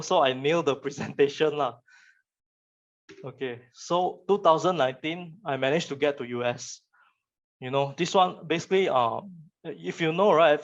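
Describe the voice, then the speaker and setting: A man's voice: medium pitch, muffled recording. A young man from Malaysia with a Malaysian English accent, Chinese his first language, giving a casual motivational talk to students and often repeating words as he restarts.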